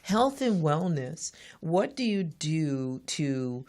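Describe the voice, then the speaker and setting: A woman in her forties hosting an interview, eager and quick to laugh loudly, with lots of fillers. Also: low-pitched, very animated. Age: 40s. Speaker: interview host. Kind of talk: casual interview chat.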